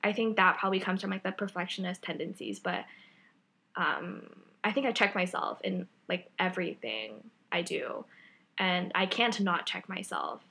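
A young woman co-hosting a podcast in casual chat, with a loud voice that projects and an energetic way of talking. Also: fairly low pitch, moderate pace. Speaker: podcast co-host.

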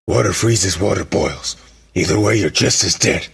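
A man's voice: low-pitched, normal speed, gravelly, background noise. A man playing a menacing, bitter rogue alchemist in an anime fan dub, theatrical and taunting. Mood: cold, menacing. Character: menacing rogue ice alchemist, bitter war veteran villain.

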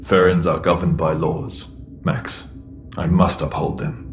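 Sci-fi audio drama: stern, protective alien, solemn and terse, deep and monotone. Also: male voice, unhurried, soft background music. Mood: grave. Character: Throg, grave lawful alien Ferran, secretly protective.

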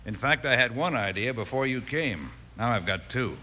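A man's voice: medium pitch, expressive, normal speed, bright, forceful tone. A man playing a weary, earnest army captain in an old radio western, grave and clipped, with an American accent. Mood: weary, determined. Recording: faintly noisy old radio recording.

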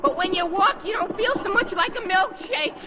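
A man's voice: very high-pitched, lively intonation, moderate pace. An actor playing a dopey, eager teenage boy sidekick in a 1940s radio comedy. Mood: dryly nagging. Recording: scratchy, muffled, noisy 1940s radio broadcast.

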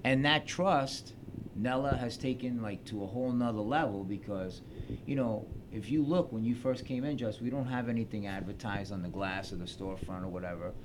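A man's voice: fairly low pitch, normal speed, noisy background. A man, a shop co-owner telling stories and acting out quoted dialogue in a casual interview. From Long Beach, New York. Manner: storytelling, acts out quoted dialogue.

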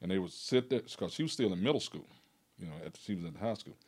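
A man in his sixties with a drawl rattles off stories at a quick clip in a lowish voice. He rambles, doubles back on his words and breaks into laughter as a retired PE teacher talking with an old student. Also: husky. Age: 60s. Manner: animated, rambling, laughs often. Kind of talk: casual storytelling conversation.

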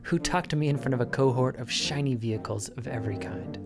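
A man's voice: mid-range voice, fast. Man narrating a podcast over music. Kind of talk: scripted narration. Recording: music underneath.

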